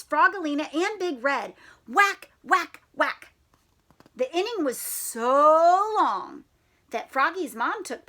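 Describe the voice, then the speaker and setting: A woman reading a children's picture book aloud like a teacher, expressive with drawn-out words and playful questions to her young listeners. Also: high voice. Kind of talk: animated storybook read-aloud with questions to children.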